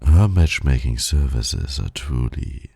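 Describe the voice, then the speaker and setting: A man performs a suave villain flirting with his nemesis in an ASMR roleplay. His voice is dark, very breathy and full of gravel, recorded close and dry. He teases with formal, silky condescension. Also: extremely low pitch, lively intonation, takes it slowly, dry recording, exaggerated voice. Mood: smooth, assured.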